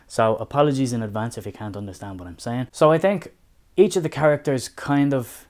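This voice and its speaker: A young adult man with a Dublin accent, talking through a book review in a measured, thoughtful way. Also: mid-range voice, a little mellow. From Ireland. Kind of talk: casual spoken review.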